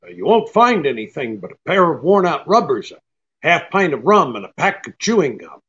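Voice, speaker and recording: A man's voice: a little high, big pitch swings, a little fast, fairly bright tone, highs cut off. A man playing a wry, tough, unflappable private detective in a 1940s-style radio drama, with an offhand way of questioning. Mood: cool, offhand.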